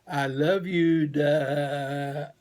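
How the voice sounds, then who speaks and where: A man's voice: on the high side, steady tone, drawn-out words, a little mellow. A man voicing an eager, adoring little bear cub in a children's storybook, asking earnest, wondering questions. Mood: loving. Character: eager little bear cub who adores his daddy. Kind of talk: children's storybook read-aloud.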